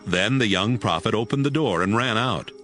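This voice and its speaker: A man's voice: on the low side, normal speed, a bit bright. A man reading scripted narration for a dramatized audio Bible. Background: music.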